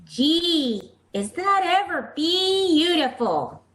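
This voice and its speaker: A woman's voice: very high-pitched, big pitch swings, very slow, fairly bright tone, heavily acted. A woman playing an eager, pestering little neighborhood girl in 1940s radio comedy style, childlike and full of repeated questions. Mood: admiring, excited. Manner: childlike, repeats questions, says gee and I betcha. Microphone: video call. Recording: roomy, echoing video call audio.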